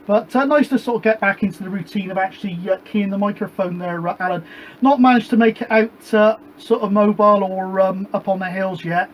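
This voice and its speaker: A man's voice: sits high, fairly even intonation, brisk, slight background noise, low-quality recording. A British man chatting casually on a CB radio net from his home shed, rambling and handing each turn back to the others. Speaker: CB radio operator and show host. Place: home shed radio room with a gas fire.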